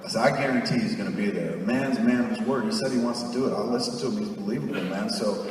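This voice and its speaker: A man's voice: lowish voice, fast, a little hiss or hum. Profane man telling stories in a hall. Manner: profane, animated, acts out quoted voices. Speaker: stand-up storyteller on stage. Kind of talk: live comedic storytelling. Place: theater stage, live audience. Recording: echoey hall, far from mic.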